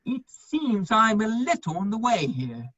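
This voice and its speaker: A woman playing a charming, lovestruck gentleman in his thirties in a comedy of manners, witty and earnestly pleading, on a muffled recording. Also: on the high side, big pitch swings, a little slow, breathy edge, no room sound, exaggerated voice. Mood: dry charm. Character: foppish, lovestruck gentleman just over thirty, charming wit. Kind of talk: stage comedy of manners, dramatic reading.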